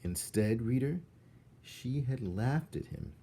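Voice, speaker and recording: A man's voice: medium pitch, expressive, takes it slowly, mellow, slight background noise. A man reading a children's book aloud as narrator, expressively, with dramatic emphasis on key words.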